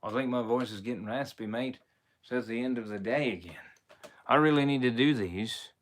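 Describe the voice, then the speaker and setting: A man doing live-stream shout-outs in a theatrical, playful pirate drawl with a put-on pirate English accent. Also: medium pitch, moderate pace. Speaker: impressionist streamer.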